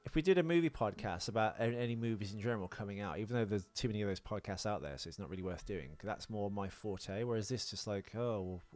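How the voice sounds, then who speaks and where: A man's voice: on the low side, fast talker, few pauses, darkish tone, faint music behind. A British man co-hosting a podcast, chatting casually and unscripted in a rambling, hesitant, self-deprecating way with some swearing. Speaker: podcast co-host.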